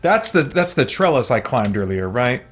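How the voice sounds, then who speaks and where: A man's voice: medium pitch, big pitch swings, moderate pace, soft background music. A man giving casual gameplay commentary on a livestream, thinking aloud in a rambling, hesitant way and chatting with viewers.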